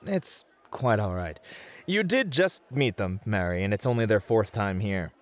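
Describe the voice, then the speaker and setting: A man playing a lively, sassy bunny-boy host. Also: lowish voice, measured pace. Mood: earnest, confiding.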